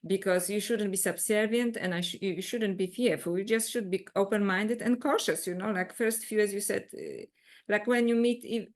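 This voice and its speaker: A woman from Poland speaks, mid-pitched and conversational. She talks as an interview guest, lively and searching, circling her point with restarts and little "you know"s. She sounds like she is joining over a remote call. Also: normal speed. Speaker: guest author.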